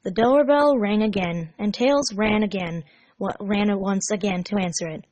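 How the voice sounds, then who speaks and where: Woman, mid-pitched voice, measured expressive storytelling, scripted narration, close dry recording. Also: no echo. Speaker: fan fiction narrator.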